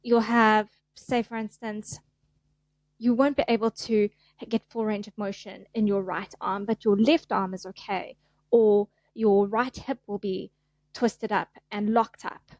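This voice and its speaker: A woman's voice: mid-range voice, steady tone, a little slow, darkish tone, dry recording. A middle-aged woman with a South African accent, chatting as a podcast guest in an easygoing, self-deprecating way. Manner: chatty, self-deprecating, acts out little voices. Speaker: guest, fascia therapist. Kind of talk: casual podcast interview.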